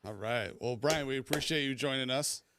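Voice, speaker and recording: A man's voice: medium pitch, normal speed, fairly bright tone, slight background noise. A man co-hosting a casual movie debate, informal and enthusiastic, repeating himself for emphasis. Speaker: podcast co-host.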